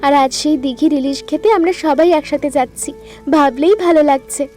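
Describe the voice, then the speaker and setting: A woman voicing a kind village woman who runs a sewing business, speaking warmly and encouragingly in a cartoon. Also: high-pitched, fairly even intonation, brisk, soft background music, lightly acted. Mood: kindly. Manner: warm, encouraging. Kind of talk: cartoon voice acting.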